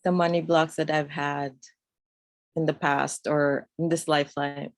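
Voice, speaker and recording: A woman's voice: deep voice, level tone, measured pace, no room sound. A woman raised in New York, a guest on a video call, speaking reflectively and searching for her words. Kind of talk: casual conversation over a video call.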